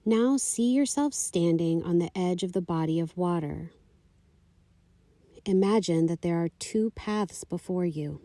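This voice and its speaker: A woman leading a guided meditation, giving calm, soothing, unhurried instructions. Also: lowish voice, no room sound. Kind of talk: guided meditation, slow scripted narration.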